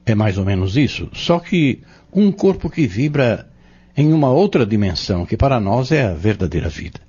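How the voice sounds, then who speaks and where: A man playing a patient, earnest Spiritist teacher in an audio drama, explaining step by step in a measured, didactic way. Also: medium pitch, big pitch swings, dark tone, husky. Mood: earnest, explanatory. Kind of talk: scripted audio drama dialogue. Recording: dull lo-fi audio.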